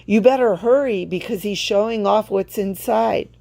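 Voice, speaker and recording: A woman's voice: medium pitch, moderate pace, creaky, dark tone, pitched above the performer's own voice, natural voice. A woman voicing a helpful male friend in a storybook, calling out urgent news.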